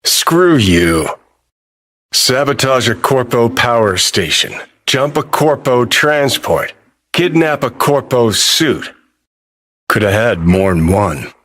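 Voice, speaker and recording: An actor voicing a cynical, abrasive dead rock rebel in a video game, with an American accent and a sardonic, profane, contemptuous drawl. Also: male voice, medium pitch, very animated, takes it slowly, a bit bright, full character voice. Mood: scornful, sarcastic.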